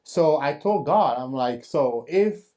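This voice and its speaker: A man's voice: fairly high pitch, expressive, mellow. Earnest man telling his story. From Cameroon. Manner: earnest storytelling, halting with fillers and restarts. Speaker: host. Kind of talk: personal faith testimony.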